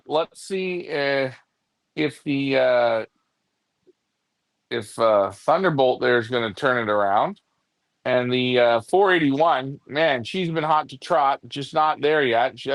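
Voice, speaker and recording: A man's voice: on the high side, measured pace, no room sound. A man co-hosting a pigeon racing show, chatting casually and telling stories as he goes. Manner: rambling storyteller, puts on voices in quoted talk. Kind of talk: casual chat.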